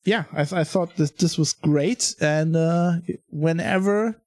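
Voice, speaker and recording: A man with a German accent hosting a podcast in casual conversation, blunt and quick to laugh, swearing now and then. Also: a little high, darkish tone, dry recording, clean studio sound. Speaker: podcast host.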